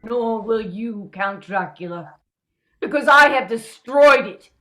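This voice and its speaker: An actress playing a scorned, vengeful vampire bride in a radio play, dramatic and deliberate. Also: female voice, fairly high pitch, animated, fairly bright tone. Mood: vengeful, bitter. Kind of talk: old-time radio play acting.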